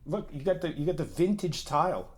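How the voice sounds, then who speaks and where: A young man in an ASMR comfort roleplay, talking to the listener as a reassuring friend in a chatty way with soft laughs. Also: fairly high pitch, sing-song, fairly quick, breathy edge.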